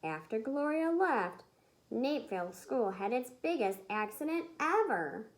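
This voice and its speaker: A woman reading a children's picture book aloud in an expressive, emphatic storytelling manner. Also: fairly high pitch, unhurried. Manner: animated, emphatic, acts out the dialogue.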